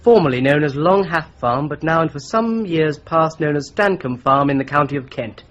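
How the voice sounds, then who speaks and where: An actor playing a methodical solicitor-detective who patiently lays out a fraud theory, in a radio drama. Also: male voice, a little high, lively intonation, normal speed, a bit bright. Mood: explaining. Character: Boone, shrewd young male solicitor-detective, patient explainer. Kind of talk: BBC-style radio drama acting. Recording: dull lo-fi radio play recording.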